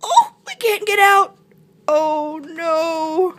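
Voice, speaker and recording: A woman voicing an exuberant, whooping and yelping monkey with a shrill voice in a storybook read-aloud. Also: extremely slow, fairly bright tone, exaggerated voice. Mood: pained groaning. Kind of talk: children's picture-book read-aloud.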